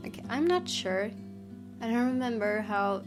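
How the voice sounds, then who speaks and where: A woman's voice: fairly high pitch, lively intonation, moderate pace. A young adult woman giving casual, tentative let's play commentary, thinking aloud as she goes. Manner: musing, tentative. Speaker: gaming video host. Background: game music.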